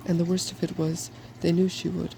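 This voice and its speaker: A soft-spoken narrator gently reading a fairy tale in an ASMR style. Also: medium pitch, monotone, fairly quick, creaky, slight background noise.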